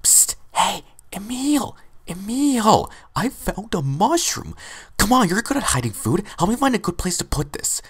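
A man voicing an excitable male rat gourmet in a cartoon fandub, passionate and fussy about food, gushing and stammering. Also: high voice, unhurried, slightly rough, voice pushed up, full character voice. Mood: eager, hushed. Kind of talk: animated film fandub acting.

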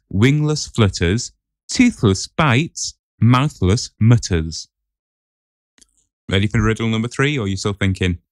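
A man's voice: medium pitch, no echo, studio quality. A man on a podcast setting a quiz, chatting casually and reading riddles aloud.

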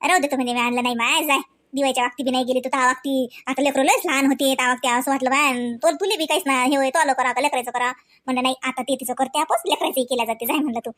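Woman acting high, quick Varhadi village woman. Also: fairly even intonation, a bit bright, no echo. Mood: chatty. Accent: Varhadi Marathi, rural Vidarbha. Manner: gossipy, colloquial, drawn-out kinship exclamations. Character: chatty, homely village women in a family cartoon. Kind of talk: homely cartoon dialogue in village dialect.

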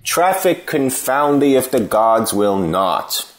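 An actor playing a churlish cynic philosopher in a Shakespeare reading, answering with biting contempt. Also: male voice, mid-range voice, big pitch swings, takes it slowly. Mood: scornful, biting. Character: Apemantus, scornful churlish cynic philosopher, male. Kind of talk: unrehearsed Shakespeare play reading.

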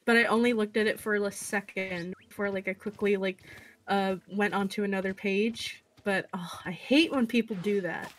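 A woman co-hosting a gaming video in casual chat, with an excitable, giggly manner. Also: mid-range voice, normal speed. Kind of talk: casual chat over gameplay. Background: music.

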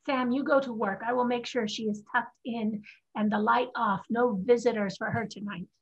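A woman's voice: a little high, steady tone, normal speed, slightly rough. A woman acting a practical, defiant young woman in a staged drama reading over a video call. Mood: calm, reassuring. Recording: dull video-call audio.

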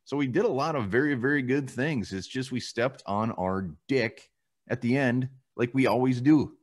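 A man's voice: medium pitch, moderate pace. A man co-hosting a casual postgame sports show from a home room over a video call, blunt and casually swearing as he runs through stats. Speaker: co-host of a sports fan show.

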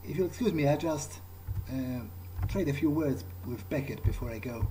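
A man improvising in-character dialogue as a gamer, in a hesitant, low-key way. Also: animated, moderate pace, dark tone, a little hiss or hum, poor audio.

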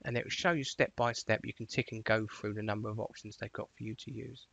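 A man presenting an informal software tutorial, explaining things step by step in a voice-over. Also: lowish voice, fairly even intonation, fast talker, gravelly, breathy edge, no room sound, highs cut off.

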